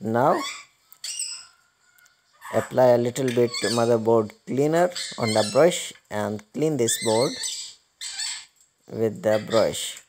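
A man giving step-by-step hands-on repair instructions in a halting, repetitive way. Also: medium pitch, background noise. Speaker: repair tutorial presenter.

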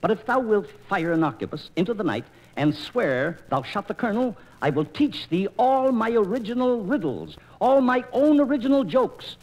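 An actor playing a witty, weary English court jester in 1940s radio operetta, with theatrical, rhythmic delivery and archaic phrasing. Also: male voice, high voice, big pitch swings, moderate pace, hardly any pauses, a little mellow, poor audio. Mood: scheming. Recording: 1940s radio transcription disc.

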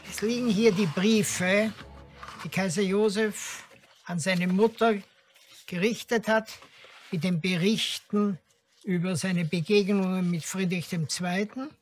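An expert giving measured explanatory commentary in an interview. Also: mid-range voice, fairly even intonation, soft background music.

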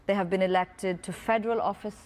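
A woman in her thirties from Pakistan, an author reading from her book and answering questions on a festival stage, earnest and measured. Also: mid-range voice, fairly even intonation, a little mellow. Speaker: author guest. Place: festival stage before a large audience.

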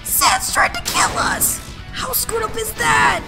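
A woman voicing a panicked, whiny young companion, loud and exasperated. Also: extremely high pitch, big pitch swings, takes it slowly, creaky, full character voice. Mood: panicked exasperation. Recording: loud music underneath.

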